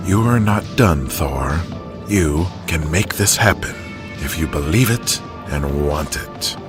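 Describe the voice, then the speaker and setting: Man playing a deep-voiced, manipulative wrestling promoter. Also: expressive, slow pace, music in the background, full character voice. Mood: coaxing, manipulative. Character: shrewd, commanding male wrestling promoter, manipulative. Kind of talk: audio drama acting.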